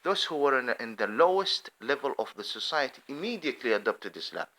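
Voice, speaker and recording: A man's voice: mid-range voice, big pitch swings, fairly quick, sharp tone. A man giving an impassioned, rambling unscripted monologue to camera. He often restarts his phrases. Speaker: video commentator.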